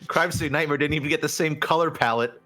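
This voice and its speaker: A man's voice: a little high, quick pace, a bit bright, soft background music. A man in a casual podcast chat, arguing his opinions emphatically as a panelist.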